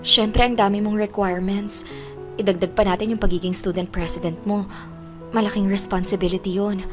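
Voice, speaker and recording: A woman's voice: mid-range voice, steady tone, moderate pace, lightly acted. A Filipino woman playing a frank, preoccupied college girlfriend in a naturalistic audio drama. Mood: hesitant, serious. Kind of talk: naturalistic radio-style drama acting. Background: clear background music.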